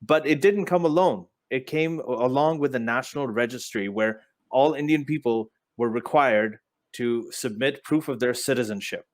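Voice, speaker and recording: A man who grew up in Saudi Arabia and Pakistan co-hosts an online debate over a video call. He challenges the other side with pointed questions and talks over interruptions to finish his argument. His voice sits at a middling pitch. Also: moderate pace. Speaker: debate co-host.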